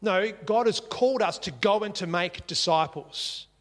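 A man's voice: high voice, normal speed, a bit bright, long reverb tail. A man preaching a sermon in earnest, repeating key phrases for emphasis. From Singapore. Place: church.

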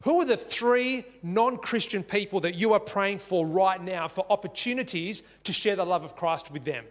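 A man's voice: sits high, big-room sound. A man preaching a sermon in earnest, repeating key phrases for emphasis. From Singapore. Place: church.

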